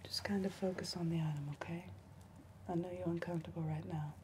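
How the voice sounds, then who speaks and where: A young woman playing a deadpan backwoods helper in a soft-spoken ASMR crime roleplay, halting and often whispering. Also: on the low side, natural voice. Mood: soothing, hesitant. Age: young adult. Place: dim indoor room, seen at a bar counter. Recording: noticeable background noise, room ambience.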